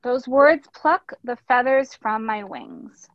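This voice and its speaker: A woman's voice: a little high, lively intonation, takes it slowly. A woman reading a picture book aloud as a teacher, expressively, with instructive asides. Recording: echoey room, dull lo-fi audio.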